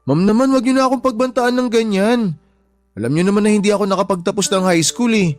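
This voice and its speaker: A man's voice: sits high, fast, dry recording, lightly acted. A man playing a humble young shop worker in a radio drama, anxious and respectful, pleading politely in distress. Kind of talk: Tagalog radio drama acting. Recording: background music under the voice.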